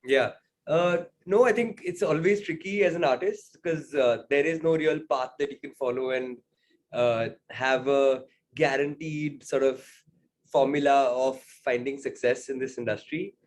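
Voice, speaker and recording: A man's voice: mid-range voice, measured pace. A man, a singer-songwriter answering interview questions in a reflective way, with many fillers.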